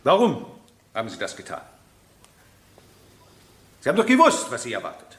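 A man's voice: medium pitch, wildly swooping pitch, normal speed, a bit bright. A man playing a grave, authoritative presiding judge in a German crime radio drama, with a formal manner. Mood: dismayed. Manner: formal, official.

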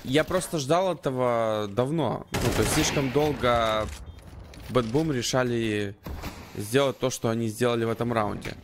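A man's voice: mid-range voice, moderate pace, noisy background. A man commentating and analysing a live esports match in conversational gamer slang. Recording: gunfire and game sounds behind. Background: gunfire, clicks from the game.

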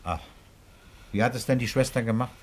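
An actor in a radio crime drama playing a calm, persistent police commissioner who questions tersely with thoughtful grunts. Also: male voice, mid-range voice, a little fast, natural voice. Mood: insistent.

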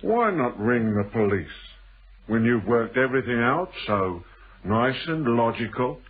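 A very husky, rough-edged British man in a radio thriller, sly and insinuating. His speech swings widely in pitch as he teases and probes, on a muffled old radio recording. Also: sing-song. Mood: probing. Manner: teasing, probing, deliberate. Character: shrewd, insinuating male stranger, needling storyteller in a thriller. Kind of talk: British radio drama acting. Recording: muffled band-limited old radio broadcast.

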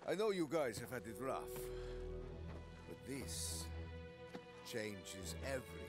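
A man's voice: medium pitch, sing-song, slow. A man playing a rugged, wry, steadfast action hero in a video game, speaking in short, informal lines. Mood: determined. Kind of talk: video game cutscene acting. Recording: very loud music underneath.

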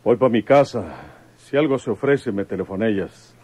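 An actor playing an upright rural police commissioner in a Mexican radio drama, with rustic country speech. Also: male voice, mid-range voice, normal speed, mellow, breathy edge, close to an everyday voice. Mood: calm, businesslike. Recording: old radio broadcast recording.

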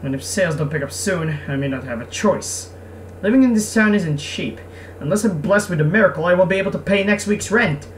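A man's voice: high-pitched, brisk, roomy sound, far from the mic, slight background noise, natural voice. A man voicing a struggling, sarcastic young male craftsman in a visual novel game. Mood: weary grumbling. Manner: weary, sarcastic grumbling. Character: grumpy, struggling young male craftsman, laid-back at heart.

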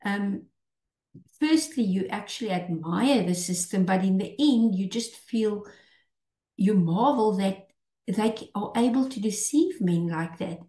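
A woman in her fifties teaching the Bible in an earnest, unhurried way, pausing and restarting often. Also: medium pitch, animated, warm tone. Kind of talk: unscripted Bible teaching over shared screen. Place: small indoor room. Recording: echoey room.

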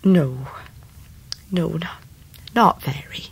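A loyal Victorian nursemaid in a radio drama. Her English voice is fairly low and husky, with a halting, homely, tender way of talking. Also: heavily acted. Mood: secretive. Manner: halting, tender, plain-spoken. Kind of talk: 1990s radio drama acting.

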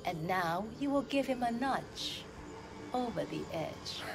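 An actress playing a cold, calculating crime boss in a video game cutscene, speaking in a deliberate, menacing way. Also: female voice, mid-range voice, expressive, vocal fry, music in the background. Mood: calm, calculating.

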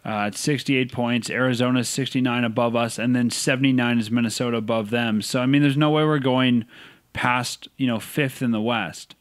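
A man co-hosting a podcast, giving opinionated, animated takes in casual sports chat. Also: medium pitch, moderate pace, no echo, studio quality. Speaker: podcast co-host.

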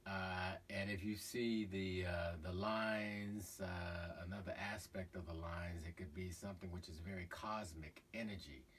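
A middle-aged man, a painter, talking casually about his own painting in a halting way with many fillers, filmed in a cluttered studio. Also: low-pitched, fairly even intonation, fairly bright tone. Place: cluttered art studio indoors. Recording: voice from far off, faint room noise.

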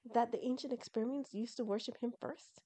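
A woman's voice: a little high, fairly even intonation, brisk, mellow, breathy edge. Woman playing an earnest, questioning young fox son. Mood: puzzled.

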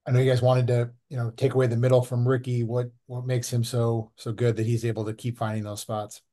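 A man's voice: medium pitch, little pitch movement, fairly quick, warm tone. A male reporter asking postgame questions at a press conference, rephrasing as he goes.

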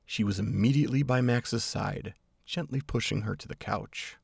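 A man reads story narration in a low, heavily gravelled voice. There is a husky rasp to it, and he delivers the scripted prose as a storyteller. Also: normal speed, a little mellow, no room sound, studio quality.

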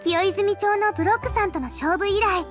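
Here is a bubbly, eager young girl from an anime fantasy game. Her voice is extremely high and chirpy, with casual, emphatic delivery. Music plays clearly behind her. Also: moderate pace. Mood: excited. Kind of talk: Japanese anime game voice acting.

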